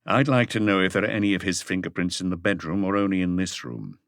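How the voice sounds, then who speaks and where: A man reading the part of a calm, authoritative police chief inspector in an audiobook, measured and matter-of-fact. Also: deep voice, dry recording, clean studio sound. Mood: businesslike.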